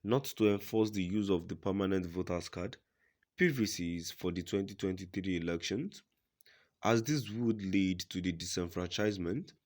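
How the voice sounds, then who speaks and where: Very low-pitched man reading news. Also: darkish tone. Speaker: news reader.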